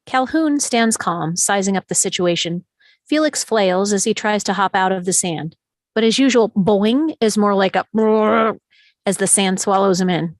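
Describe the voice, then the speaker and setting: A woman narrates a screenplay at a table read, reading out the action. Her pitch is average and the recording is close and dry, with little room sound. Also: normal speed, no room sound. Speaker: narrator reading stage directions.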